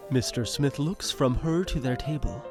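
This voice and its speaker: A man's voice: mid-range voice, moderate pace. Man, mid-range voice, scripted narration, dramatic storytelling, music behind.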